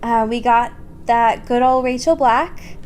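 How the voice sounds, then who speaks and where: A woman with a fairly high voice chats as a podcast co-host. She is informal and giggly and takes her time. There is clear noise behind her. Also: a little slow, darkish tone. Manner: giggly, informal, swears casually. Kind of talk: casual chat and reading fan fiction aloud.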